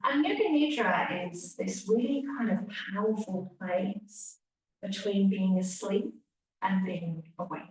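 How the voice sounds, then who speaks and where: A woman teacher leading a guided relaxation meditation, speaking gently with long pauses and hushed phrase endings. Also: mid-range voice. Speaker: yoga nidra teacher. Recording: echoey room, distant mic, faint hiss.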